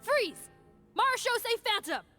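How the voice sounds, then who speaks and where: A high, bright-edged police officer in a video game. She is authoritative and urgent, with widely swinging pitch. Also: very animated, slow pace, soft background music, heavily acted. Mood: commanding.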